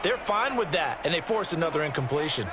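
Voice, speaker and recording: High-voiced American football analyst. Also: male voice, sing-song, brisk, background noise. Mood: analytical. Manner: conversational, emphatic explaining. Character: enthusiastic male football color analyst. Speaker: color commentator. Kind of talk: American sports analysis commentary.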